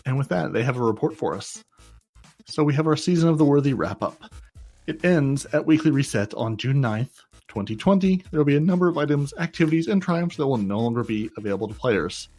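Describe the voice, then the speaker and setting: A man's voice: mid-range voice, expressive, brisk, darkish tone, faint music behind. Chatty gaming podcast man. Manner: chatty, self-mocking, repeats words. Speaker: podcast co-host. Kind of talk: casual gaming podcast chat.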